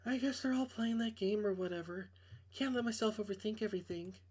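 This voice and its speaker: A man's voice: high-pitched, brisk, a little mellow, voice pushed up. A man voicing characters from a visual novel, a woman and her friends, in a casual, understated manner. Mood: uneasy, dismissive.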